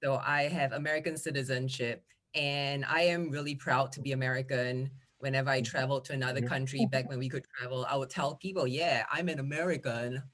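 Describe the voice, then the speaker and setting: A woman's voice: very deep voice, fairly even intonation, brisk, few pauses, fairly bright tone. A woman from Singapore doing a stand-up set over a video call, delivering wry, playful punchlines. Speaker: comedian.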